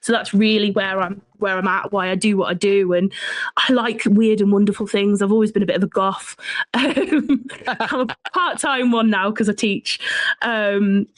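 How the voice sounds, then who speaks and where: A woman's voice: mid-range voice, steady tone, moderate pace, no echo. A woman from Shropshire with an English accent, talking conversationally as an interview guest and storyteller, chatty and enthusiastic. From Shropshire, England. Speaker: guest, folklore writer and researcher.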